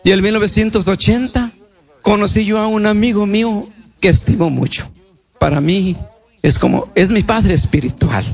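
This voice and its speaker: A male priest speaks in a notably high voice. He preaches a personal testimony in plain, colloquial and devout words, often repeating a phrase to stress it. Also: normal speed.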